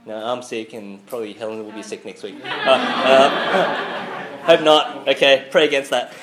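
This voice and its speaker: A man's voice: medium pitch, fairly even intonation, unhurried, heavy background noise. A man who is sick, preaching a sermon with many fillers and restarts. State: sick, voice a bit off. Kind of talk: sermon to a student group.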